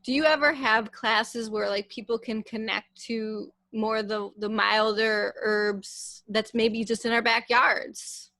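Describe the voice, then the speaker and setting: Woman, interview host, gravelly, dry sound, friendly, casual, video call. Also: fairly high pitch, fairly even intonation, slow pace, hardly any pauses, sharp tone, no echo.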